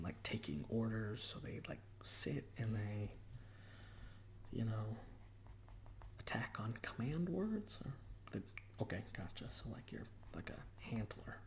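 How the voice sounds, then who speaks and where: A man in a whispered ASMR roleplay as a portrait artist, chatting hesitantly in short phrases with pauses between them. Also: fairly low pitch, darkish tone, slight background noise.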